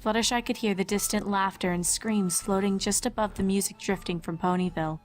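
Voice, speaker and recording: A woman's voice: mid-range voice, steady tone, fairly quick, over music. A woman reading scripted storybook narration as the narrator of a tale.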